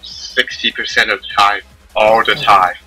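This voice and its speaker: A man chatting casually as he co-streams a game, joking and swearing, his voice husky. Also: lowish voice, steady tone, measured pace, pushed and bright, faint music behind, poor audio. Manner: joking, profane asides. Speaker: co-streamer voicing characters. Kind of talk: casual chat during a live game playthrough.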